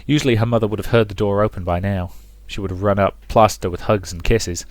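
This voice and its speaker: A man's voice: lowish voice, fast, dry recording. A man reading a visual novel aloud as dramatic story narration. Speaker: let's play narrator.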